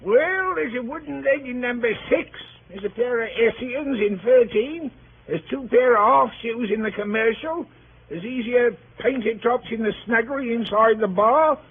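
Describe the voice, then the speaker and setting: An actor playing a cheeky working-class inn boot-cleaner in a 1930s radio play, colloquial and unhurried. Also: male voice, very high-pitched, narrow, tinny audio. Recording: thin, noisy 1930s radio broadcast.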